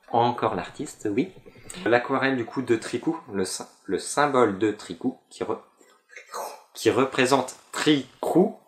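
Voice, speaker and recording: A young man chats casually to camera in a lowish voice. He stumbles through fillers and restarts. The room around him rings with echo. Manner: hesitant, many fillers and restarts. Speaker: vlogger. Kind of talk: casual chat about a fan convention.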